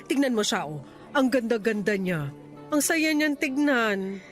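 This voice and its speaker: A woman playing a doting Filipino mother in a radio drama, chatty and gushing with admiration as she gently compares her child. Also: on the high side, expressive, measured pace, soft background music. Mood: admiring.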